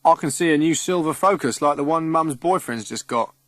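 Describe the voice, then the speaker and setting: Keen schoolboy, acted by a man: higher-pitched, eager; children's audiobook. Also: fairly quick, a bit bright, dry recording, clean studio sound, natural voice. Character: eager schoolboy, mad about cars.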